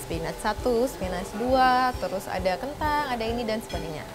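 A woman's voice: on the high side, animated, normal speed. Music plays behind a young woman with an Indonesian accent. She presents a cooking show in a chatty way, asking questions and dropping in English words. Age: young adult. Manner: mixes English words into speech. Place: TV studio kitchen set, indoors. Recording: background music, sizzling pan. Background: music and frying sounds.

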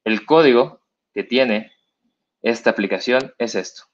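Mexican man explaining code, halting. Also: medium pitch. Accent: Mexican Spanish. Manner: explanatory, halting, many fillers and restarts. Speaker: meetup speaker. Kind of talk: live coding demo. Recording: dull lo-fi stream audio.

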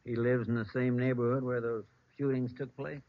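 A brisk police officer acted by a man speaks informally at a medium pitch. The vintage radio drama sound is muffled. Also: flat delivery, lightly acted. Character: brisk, helpful male station police officer. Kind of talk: old-time radio drama acting.

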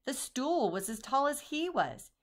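A woman reading a children's picture book aloud in an expressive storytelling manner. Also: high-pitched, a little slow. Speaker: storybook reader.

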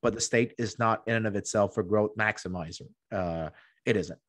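A man's voice: fairly low pitch, normal speed, no room sound, clean studio sound. A man in his thirties arguing conversationally as a podcast guest, emphatic, often repeating words as he goes. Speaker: podcast guest, economic historian. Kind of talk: conversational interview argument. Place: small room at home, video call. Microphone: close desktop microphone.

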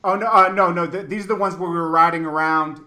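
A man's voice: high voice, fairly even intonation, quick pace, pushed and bright. A man hosting a casual, slangy hip-hop interview over a video call, talkative and emphatic, often repeating phrases. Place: indoor room, on a video call.

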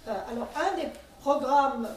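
A woman's voice: high-pitched, normal speed, slight background noise. A middle-aged woman from France giving a conference talk, with many fillers and restarts, speaking from a panel table in a meeting room. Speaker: conference speaker for a centennial commission. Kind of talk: presentation at a teachers' training day. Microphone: table mic at a panel, filmed from the room. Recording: echoey room from far off.

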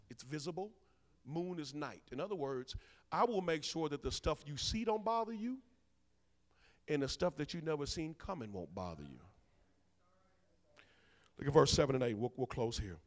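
A man, preaching a sermon as minister. He swings between loud bursts and soft asides, teasing his congregation. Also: on the high side, lively intonation, normal speed. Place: large church sanctuary. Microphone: handheld mic. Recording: long church hall reverb.

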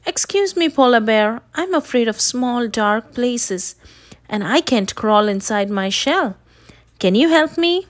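A woman's voice: fairly high pitch, animated, slow. A woman voicing a polite, anxious little boy turtle from a storybook, earnest and pleading.

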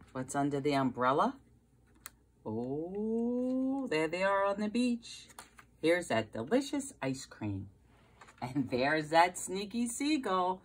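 Slow, lively low-voiced woman reading to children. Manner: exclaiming and asking questions for young children. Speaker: preschool teacher reading aloud. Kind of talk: children's picture-book read-aloud. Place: carpeted basement room at home, indoors.

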